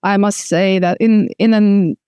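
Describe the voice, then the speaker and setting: A middle-aged woman from Moscow with a Russian accent, a native Russian speaker, answering interview questions thoughtfully with hesitant pauses. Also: mid-range voice, fairly even intonation, dark tone, clean tone, no room sound, studio quality. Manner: thoughtful, many fillers and restarts. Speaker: filmmaker guest.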